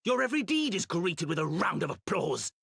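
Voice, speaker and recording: An anguished, husky young nobleman. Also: high voice, very animated, a little fast, a bit bright, big-room sound, heavily acted. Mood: bitter. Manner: formal, archaic, pleading. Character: anguished young nobleman, earnest and guilt-ridden. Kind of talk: dramatic video game cutscene acting.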